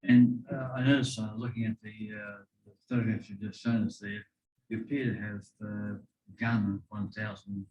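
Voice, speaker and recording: An Australian man asking an aviation guest technical questions, soft-spoken and hesitant with many "uh" and "um". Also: lowish voice, level tone, normal speed, muffled recording. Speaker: audience questioner.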